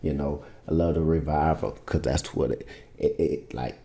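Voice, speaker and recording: Male producer, bass-heavy voice, easygoing and rambling, roomy home-studio sound. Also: fairly even intonation, normal speed, mellow. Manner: laid-back, rambling, loose and informal. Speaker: music producer teaching vocal mixing. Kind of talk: casual screen-share tutorial. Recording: roomy echo.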